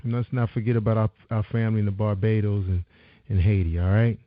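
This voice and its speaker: Easygoing guy host, gravelly low voice, dull dry lo-fi sound. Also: little pitch movement, fast, no echo. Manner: laid-back, encouraging, lots of "you know". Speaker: radio show host. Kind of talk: casual interview chat.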